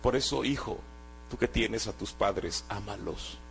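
A man's voice: fairly low pitch, measured pace, a bit bright, slight background noise, poor audio. A man from Guatemala, giving an earnest sermon testimony and often restarting his words. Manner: earnest, exhorting, with hesitant restarts.

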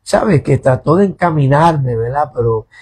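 A man's voice: fairly high pitch, expressive, a little slow, darkish tone, slightly rough. A man, a pastor speaking as a radio guest, hesitant, repeating words and tagging "¿verdad?" onto nearly every phrase. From born in New Jersey, raised in Puerto Rico. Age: 50s. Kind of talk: radio interview, testimony. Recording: radio studio.

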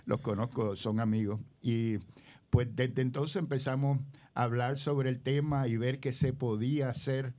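A man's voice: medium pitch, fairly even intonation, a little slow, dark tone. An older man, a community leader speaking as a radio guest in a rambling way, full of hesitations.

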